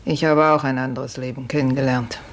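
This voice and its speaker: An actress playing a kindly, resigned serf woman from the stables, soothing and unhurried, in a 1950s German radio drama. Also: rumbling low, fairly even intonation. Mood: weary, confiding. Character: motherly, weary female stable serf, resigned, kindly comforter. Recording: noisy 1950s radio recording.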